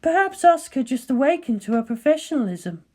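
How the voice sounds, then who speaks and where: A woman voicing a composed, analytical female scientist in a story, measured, formal and sceptical. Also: high-pitched, sing-song, a little mellow, voice pushed up.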